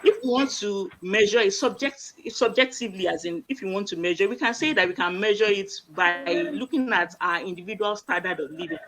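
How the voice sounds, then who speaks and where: Bright-voiced woman explaining. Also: medium pitch, brisk, few pauses, a little hiss or hum, poor audio. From Nigeria. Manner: plain explanatory, repeats key phrases. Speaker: medical doctor, panel guest. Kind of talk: explaining to a live online audience.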